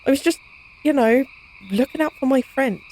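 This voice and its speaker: A woman's voice: high-pitched, normal speed, a little mellow, lightly acted, poor audio. A woman playing a playful, cocky, science-obsessed vampire in an intimate audio roleplay, speaking in a casual, teasing, wry way. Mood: defensive, casual.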